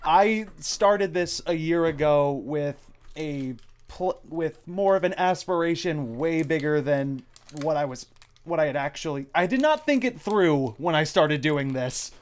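A man hosts a casual cast Q and A. His voice sits a little high and moves with very lively intonation. He talks in an animated, jokey way. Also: measured pace. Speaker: host and voice actor. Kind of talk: casual group Q and A chat.

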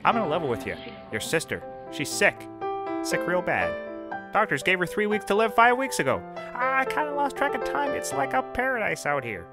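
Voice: mid-range voice, big pitch swings, normal speed, over loud music. An actor in a scripted comedy playing a brash, hapless private detective who rambles and corrects himself. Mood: feigned gravity. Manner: rambling, self-correcting, emphatic. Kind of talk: scripted audio comedy acting.

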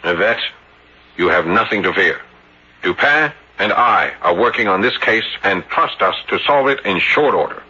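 An actor playing a proud, excitable young French policeman in an old-time radio mystery. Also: on the low side, moderate pace, breathy edge, full character voice. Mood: tender, reassuring. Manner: hesitant and self-important, turning frantic. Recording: dull, noisy vintage radio recording.

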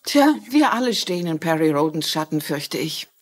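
An actress playing a courteous, gently ironic older woman who writes mysteries, in a German-dubbed TV crime drama. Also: low voice, very animated, moderate pace. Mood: wry, polite.

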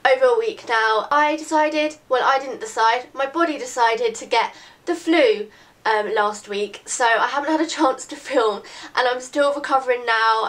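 A slightly high-voiced girl in her late teens, talking to her camera from her bedroom. She sounds bunged up from a recent cold. She rambles in a friendly way, full of "like" and "really, really". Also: fairly bright tone. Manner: chatty, rambling, lots of "like" and "really, really". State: congested from a cold. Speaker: beauty vlogger. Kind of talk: casual chat to camera. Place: bedroom, indoors. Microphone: camera in front at desk distance.

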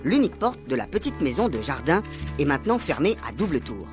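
A man's voice: on the high side, very animated, fairly quick. A man giving dramatic, scripted narration for a radio serial. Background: faint music.

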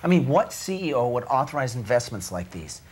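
Husky, hesitant auditor. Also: male voice, mid-range voice, sing-song, fairly quick. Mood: puzzled. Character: earnest, doubtful male internal auditor, tactful and hesitant. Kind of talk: acted corporate training-film drama. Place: indoor office.

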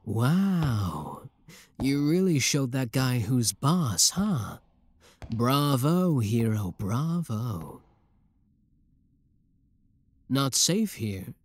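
A man's voice: mid-range voice, sing-song, extremely slow, long pauses, dry recording, heavily acted. A man playing an obsessive, lovestruck young schoolboy villain in a roleplay audio drama, talking intimately to the listener. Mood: smug, admiring. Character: shy schoolboy turned smug, obsessive yandere young villain.

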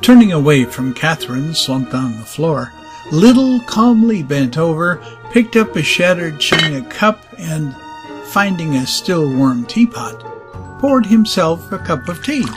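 Higher-voiced male audiobook narrator over faint music. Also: big pitch swings, measured pace.

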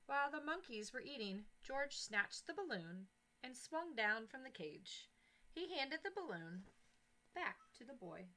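Bright, lively woman reading to children. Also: high voice, a little hiss or hum, rough recording. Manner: expressive, deliberate storytelling with emphatic phrasing. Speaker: teacher reading aloud to young children. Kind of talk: children's picture book read-aloud. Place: small indoor classroom-style corner. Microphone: headset boom mic.

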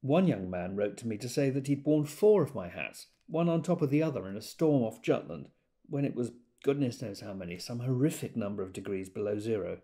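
A man's voice: medium pitch, lively intonation, a little fast, dark tone, lightly acted. An actor playing a polite, digressive Quaker man reading out notices in an understated way, in an audio drama monologue. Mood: mild, earnest. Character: gentle, rambling Quaker reading notices, a modest knitter.

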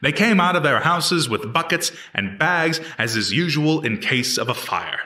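A man playing an earnest eighteenth-century prosecutor in a historical reenactment, addressing a jury in deliberate, declamatory, formal courtroom oratory. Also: fairly high pitch, animated, pushed and bright. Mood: measured narrative. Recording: echoey room, distant microphone.

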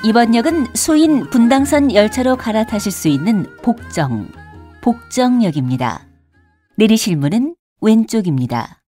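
A woman's voice: fairly high pitch, dark tone. A woman reading scripted transit announcements in a formal, polite manner with careful enunciation. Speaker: subway announcer.

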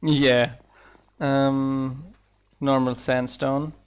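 Man with slight German accent, slow. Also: mid-range voice, little pitch movement, clear, resonant tone. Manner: chatty, thinks aloud with restarts and laughs. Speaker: mod developer streaming gameplay. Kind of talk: casual live-stream chat while playing.

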